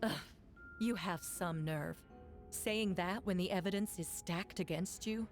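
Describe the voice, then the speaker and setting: A woman's voice: on the low side, measured pace, faint music behind, close to an everyday voice. A woman voicing a stern, formal and confrontational prosecutor in a fantasy game courtroom. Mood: indignant, accusing. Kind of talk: game character voice acting.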